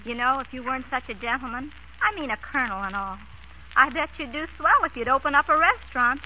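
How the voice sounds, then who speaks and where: A woman's voice: high voice, animated, fast. An actress playing a timid, homely, admiring woman in a radio comedy. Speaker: actor. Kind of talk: 1930s-40s radio comedy acting. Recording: muffled, hissy old radio recording.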